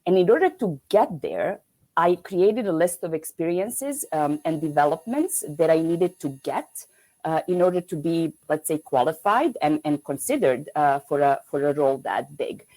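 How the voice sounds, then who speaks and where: Keynote speaker: woman from Serbia, lowish voice, halting delivery full of fillers, heard over a video call. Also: animated, mellow, poor audio. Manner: hesitant, many fillers and restarts. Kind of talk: online conference keynote.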